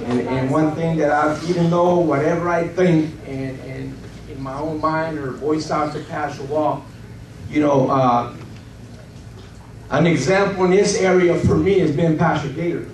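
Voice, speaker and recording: Man, testimony, candid, earnest, halting, slightly high voice, noisy background. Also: fairly even intonation, darkish tone. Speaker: program member giving testimony. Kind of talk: personal testimony. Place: church hall. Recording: echoey room from far off with noise.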